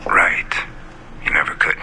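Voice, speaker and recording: A man's voice: unhurried, in a whisper, lightly acted. A man playing a resigned, reserved father in naturalistic audio drama, laconic and dry.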